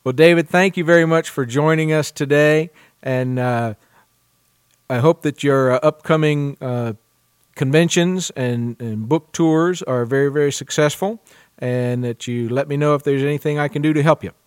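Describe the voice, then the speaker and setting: A man's voice: fairly high pitch, normal speed, smooth, no room sound, clean studio sound. A man hosting a book podcast, moving between interview chat and scripted announcements. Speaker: podcast host, publisher.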